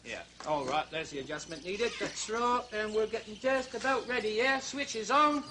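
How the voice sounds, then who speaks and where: A man's voice: high voice, moderate pace, background noise. A man playing a fussy, chattering comic zoo show host. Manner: excitable, chattering, fussy showman.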